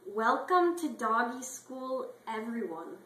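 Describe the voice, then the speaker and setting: A female spaniel teacher from a storybook read by a woman, with a bright, fairly high voice. She hands out instructions and praise in an echoey room. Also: expressive, slow pace. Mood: upbeat, instructing. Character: female spaniel obedience teacher, upbeat, openly favoring pets.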